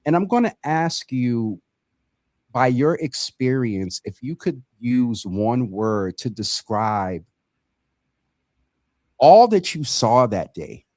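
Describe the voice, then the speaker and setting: A man hosting a live community talk show in a conversational, halting way, often repeating words and circling around his point. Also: on the low side, a little mellow, no room sound, clean studio sound.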